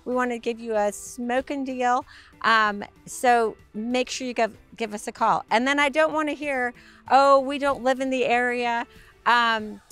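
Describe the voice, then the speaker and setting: A woman with a raspy, hoarse voice giving a bubbly, upbeat sales tour of a motorhome. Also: a little high, a bit bright. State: hoarse from a week of talking. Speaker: RV sales presenter. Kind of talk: enthusiastic walkaround tour.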